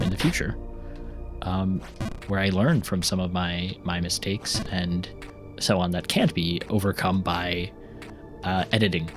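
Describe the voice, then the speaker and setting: A man with a fairly low voice talks his way through a video game, drifting between topics with frequent ums and restarts. His chatter is loose and unscripted, with the odd laugh. Faint music plays underneath. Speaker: gaming YouTuber and novelist. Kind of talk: casual commentary while playing.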